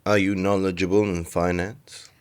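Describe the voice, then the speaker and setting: A man's voice: sits low, flat delivery, normal speed, smooth, natural voice. A man voicing an impatient king who speaks in a commanding, skeptical manner. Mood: imperious. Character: impatient king, grave and probing.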